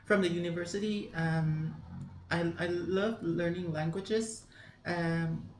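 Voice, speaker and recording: A woman from Bangkok with a Thai accent, answering interview questions politely and carefully, pausing to search for words in English. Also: lowish voice, fairly even intonation, darkish tone, roomy sound, slight background noise. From Thailand, Bangkok. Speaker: interviewee, charity centre helper.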